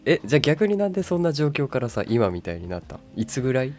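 A man's voice: mid-range voice, sing-song, fast, a little mellow, faint music behind. A man co-hosting a casual podcast, chatting in a laid-back, lightly teasing manner. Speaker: podcast co-host. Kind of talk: casual chat.

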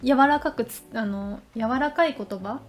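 High-voiced young woman chatting casually. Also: moderate pace, faint music behind. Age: young adult. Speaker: podcast co-host. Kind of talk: casual chat.

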